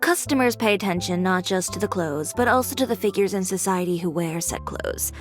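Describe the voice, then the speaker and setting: A woman's voice: fairly low pitch, lively intonation, fairly quick, lightly acted. A woman voicing a confident, businesslike boutique owner with a composed, matter-of-fact way of talking. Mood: instructive. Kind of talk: video game voice acting. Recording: game audio with music behind. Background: game music.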